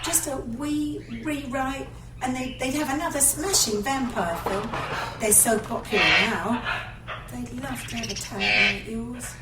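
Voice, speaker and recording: Radio drama role: a tender British animal lover, high lilting pitch, unhurried, in a noisy room. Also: female voice. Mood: coaxing. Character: tender, animal-loving London pet shop woman, former model. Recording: roomy, distant, noisy with animal sounds.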